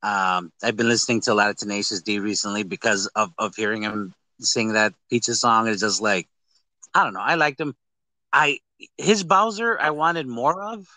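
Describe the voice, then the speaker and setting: A man's voice: lowish voice, normal speed, sharp tone, dry recording. A man chatting casually as a podcast host, rambling, repeating phrases and trailing off. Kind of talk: casual chat.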